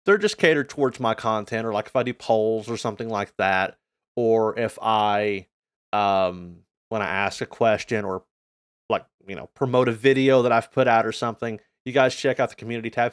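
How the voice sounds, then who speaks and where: Clear-voiced North American man, casual vlogger. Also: lowish voice, a little fast, no echo, studio quality. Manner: informal, rambling, earnest. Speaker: gaming YouTuber and streamer. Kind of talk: casual channel update vlog.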